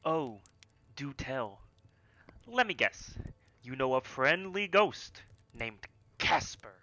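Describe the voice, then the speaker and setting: A man's voice: mid-range voice, very animated, slow, bright, forceful tone, heavily acted. A man acting in a radio play as a sarcastic, skeptical young guy who is easily rattled. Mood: scoffing.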